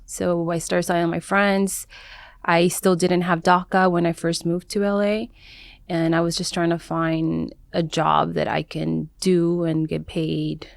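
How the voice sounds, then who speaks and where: A woman from Mexico who grew up in San Diego talks about her path into styling with a gravelly, low voice. She speaks earnestly, restarting her phrases now and then. The sound is dry, with no room echo. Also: little pitch movement. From Mexico, raised in San Diego. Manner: earnest, halting storytelling with small restarts. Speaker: guest, wardrobe stylist. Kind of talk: conversational interview.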